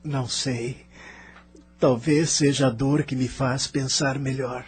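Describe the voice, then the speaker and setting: A man's voice: medium pitch, fairly even intonation, slow, husky. A man playing an anguished, regretful young emigrant's restless spirit in an audio drama, with dramatic, emotional delivery. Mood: uneasy, regretful. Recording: music behind the voice.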